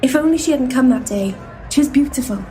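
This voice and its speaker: A woman's voice: high-pitched, lively intonation, a little fast, warm tone, a little hiss or hum. An actress playing a forthright, caring female assistant to paranormal investigators in a comedic audio drama, speaking expressively. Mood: wistfully narrating.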